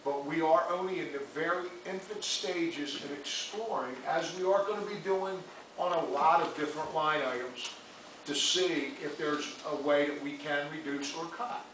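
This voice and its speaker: A man's fairly high voice carries through a very echoey meeting room with clear background noise. He speaks as an official, explaining things in a careful, measured way. The microphone is some distance from him. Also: a bit bright. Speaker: mayor. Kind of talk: public meeting remarks, explaining.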